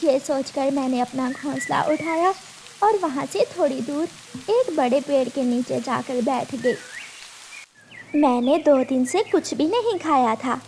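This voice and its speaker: A woman voicing a gentle mother bird who tells stories of her hardships in a children's cartoon. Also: sits high, lively intonation, measured pace. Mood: earnest, reminiscing. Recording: faint background noise.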